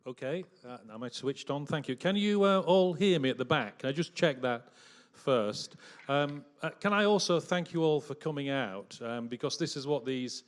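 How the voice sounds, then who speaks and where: A man giving a presentation at a public meeting in a hall, measured and hesitant with frequent ums and uhs. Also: on the high side, expressive. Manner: measured, hesitant with many fillers.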